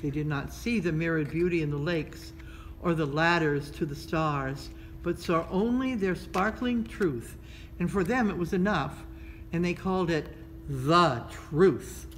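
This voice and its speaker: A woman's voice: sits low, measured pace. Woman reading a children's story, noisy recording. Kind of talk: read-aloud children's storytelling. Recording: noticeable background noise.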